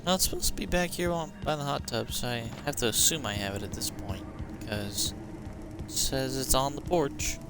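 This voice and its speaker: Gravelly-voiced man streaming a game. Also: mid-range voice, moderate pace, background noise. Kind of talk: casual live gameplay commentary.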